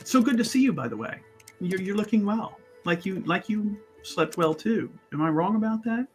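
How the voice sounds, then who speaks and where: A man plays a pampered, self-important male scholar in a tabletop roleplaying game. He is effusive and chummy, rambling on boastfully. Soft music plays underneath. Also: high voice, expressive, normal speed.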